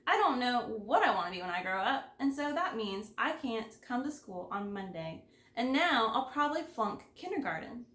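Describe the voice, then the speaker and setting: An outspoken little kindergarten girl from a storybook, acted out by a woman reader. She is blunt, grumpy and emphatic, with a high pitch, and the room echoes around her. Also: very animated, moderate pace, fairly bright tone. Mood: worried, blunt.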